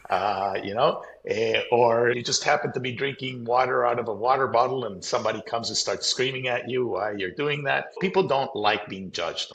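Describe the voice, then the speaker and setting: A man's voice: on the low side, fairly bright tone, echoey room, poor audio. A middle-aged man from Colombia with an American accent, explaining his views in an interview in a practical, emphatic way. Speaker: company founder, guest. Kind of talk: conversational interview.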